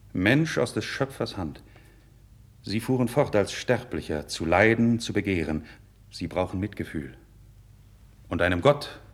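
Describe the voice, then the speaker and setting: A man's voice: low-pitched, breathy edge, heavily acted. An actor playing an idealistic, proud young nobleman in a classical radio drama, with earnest, declamatory, formal diction. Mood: grave, reproachful. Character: idealistic young nobleman, passionate, proud, candid before his king. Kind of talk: 1950s German radio drama, classical verse acting.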